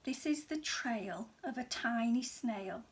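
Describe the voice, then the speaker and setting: A woman reads a children's story in a somewhat high voice. She takes her time over each phrase, shaping it dramatically and sometimes dropping to a hush. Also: lively intonation. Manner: measured, dramatic, with hushed phrases. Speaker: storybook reader. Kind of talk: expressive children's story reading.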